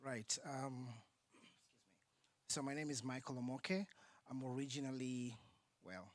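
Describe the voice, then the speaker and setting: A man from Kenya, a theatre company founder telling his story on a conference panel, lively and full of ums. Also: mid-range voice, unhurried. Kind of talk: panel talk, personal story. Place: conference centre.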